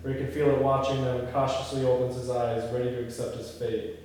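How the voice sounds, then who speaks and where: Man, mid-pitched, narrating a script at a table read. Long hall reverb, faint noise. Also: level tone, a little fast, a little mellow. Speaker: table-read narrator reading stage directions, production lead. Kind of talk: scripted narration of screenplay, with casual discussion. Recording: echoey hall, distant mic, faint noise.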